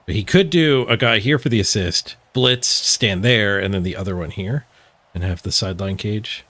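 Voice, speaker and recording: A man's voice: on the low side, normal speed, a bit bright, no echo. A man streaming a video game, giving casual, playful live commentary as he thinks his moves through aloud.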